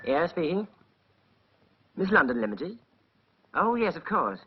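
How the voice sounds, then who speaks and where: A man's voice: on the high side, big pitch swings, measured pace. A flustered young army captain stammers and then bosses his waiter, his voice jumping up and down a lot. The old soundtrack is dull with faint hiss. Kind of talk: 1940s British film comedy acting.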